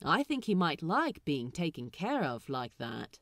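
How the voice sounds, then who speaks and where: A woman's voice: low-pitched, sing-song, measured pace, no echo, clean studio sound, exaggerated voice. A woman voicing an eager, wide-eyed, curious male pirate crewman in a storybook reading. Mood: dreamy. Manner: curious, enthusiastic.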